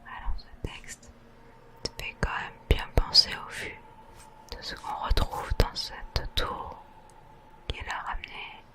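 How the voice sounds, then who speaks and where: A host whispering soft, gentle, chatty commentary over a video game in ASMR style. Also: slow pace, long pauses. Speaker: host of a relaxation gaming channel.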